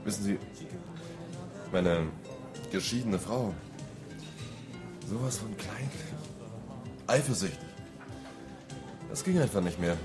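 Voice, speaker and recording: A divorced colleague turned secret lover in a radio crime play. His fairly low voice is suggestive and halting, with clear music behind it. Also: expressive. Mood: suave, suggestive. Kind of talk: German radio crime drama acting.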